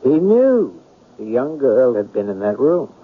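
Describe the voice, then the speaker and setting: An actor playing an inquisitive, imaginative writer turned sleuth in a 1970s radio drama, musing in a theatrical, deliberate way. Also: male voice, medium pitch, sing-song, breathy edge. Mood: speculating. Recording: muffled, lo-fi old radio recording.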